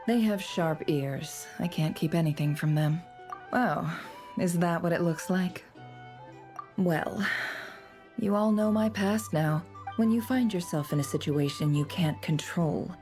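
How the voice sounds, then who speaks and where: An actress playing a shrewd, sardonic young woman with secrets in a fantasy game, speaking in a measured, knowing, dry way. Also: fairly low pitch, mellow, faint music behind, natural voice. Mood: sly, composed.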